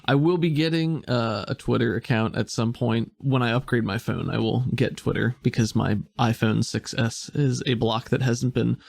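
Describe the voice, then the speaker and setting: A man's voice: mid-range voice, moderate pace, creaky, hardly any pauses, darkish tone. A man narrating a tabletop role-play game as game master in a laid-back, descriptive way.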